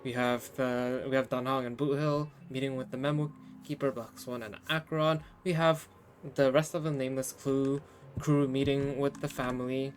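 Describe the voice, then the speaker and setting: A man's voice: mid-range voice, flat delivery, moderate pace, a little hiss or hum. A man giving casual, off-the-cuff commentary on a game as he plays. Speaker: game streamer.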